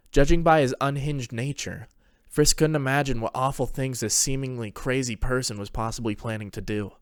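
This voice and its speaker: A man's voice: medium pitch, no echo, studio quality. A man reading scripted dramatic narration as the storyteller of a fantasy tale.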